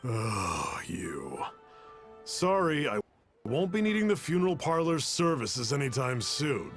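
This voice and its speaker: Game townsman: reserved, kindly, plainspoken, medium-pitched voice. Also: sing-song, slow pace. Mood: wary. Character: reserved but kindly young townsman, old friend. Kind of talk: video game voice acting. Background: game music.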